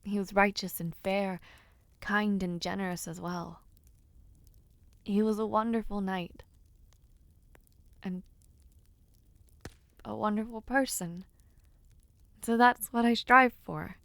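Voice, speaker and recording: Unhurried, earnest young noblewoman acted by a woman. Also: mid-range voice, steady tone, creaky, no echo. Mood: earnest, proud. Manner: gently formal, refined phrasing, unhurried and sincere. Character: earnest young noblewoman, aspiring knight, affectionate and self-deprecating. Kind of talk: audio roleplay, intimate one-sided dialogue to listener.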